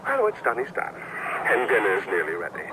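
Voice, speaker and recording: An actor playing a smug, flamboyant male tyrant in a video game, sardonic and mock-courteous with deliberate pauses. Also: lowish voice, wildly swooping pitch, very noisy. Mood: mocking, indignant. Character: smug flamboyant male tyrant, eccentric, polite yet menacing. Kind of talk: video game cutscene acting. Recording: dramatic music behind the voice.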